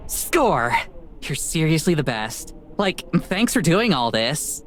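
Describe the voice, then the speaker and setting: An upbeat, lively young show host. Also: female voice, low-pitched, slow pace, slight background noise, exaggerated voice. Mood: excited. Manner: casual, enthusiastic, slangy. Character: upbeat young woman hosting an amateur paranormal show. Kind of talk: visual novel voice acting. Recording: music behind the voice.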